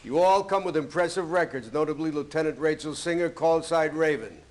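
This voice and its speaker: Stern higher-pitched male Navy commander played by an actor. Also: fairly quick, lightly acted. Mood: authoritative. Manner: authoritative, clipped military briefing. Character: stern, gruff male Navy commander and flight school instructor. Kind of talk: acted video game cutscene dialogue.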